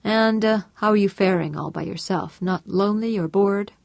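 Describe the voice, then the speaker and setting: A woman's voice: mid-range voice, normal speed, gravelly, a little mellow, no room sound, natural voice. A woman reading an audiobook voices a suave, polite, calculating man who keeps a casual, guarded tone. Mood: smooth.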